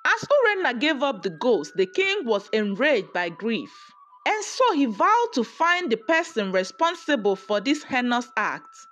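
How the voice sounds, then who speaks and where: A woman narrating a scripted folktale in an expressive storytelling manner. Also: a little high, unhurried, a little hiss or hum. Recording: music under the voice. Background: background music.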